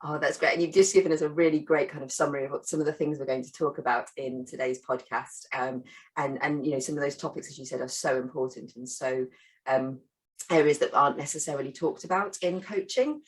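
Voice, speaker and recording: A woman's voice: deep voice, fairly even intonation, a little fast, low-quality recording. A woman hosting a podcast, asking a guest questions in an encouraging way with many fillers. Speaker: podcast host. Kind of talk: interviewer questions.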